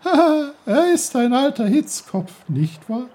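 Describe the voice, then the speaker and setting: Man voicing a babbling brook, high voice, bubbly, chatty, very animated. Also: slow pace, husky, full character voice. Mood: gleeful. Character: chattering cheerful male brook, touchy.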